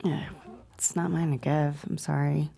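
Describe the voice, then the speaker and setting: A woman's voice: deep voice, flat delivery, measured pace, gravelly, mellow, slight background noise. An actress reading an earnest adult daughter pleading with her father. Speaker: actor in a table read.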